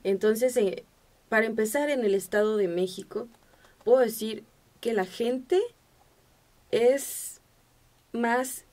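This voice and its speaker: A Mexican woman from central Mexico speaking as a podcast guest in a casual, animated conversation, acting out the remarks she quotes. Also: a little high, takes it slowly, pauses often.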